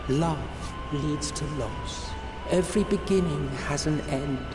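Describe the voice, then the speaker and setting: A man voicing a solemn, cryptic sage in a video game, speaking with grave, measured, theatrical weight. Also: on the high side. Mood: solemn, portentous. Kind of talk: theatrical scripted game monologue. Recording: roomy reverb under loud music.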